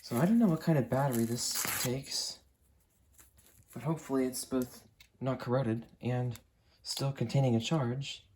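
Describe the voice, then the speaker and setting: Young man, soft-edged mellow tone, medium pitch, casual unboxing talk, clear background noise with crinkling. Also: moderate pace. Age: young adult. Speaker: unboxing video maker. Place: small carpeted home room with computer desk. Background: noise, crinkling packaging, clicks.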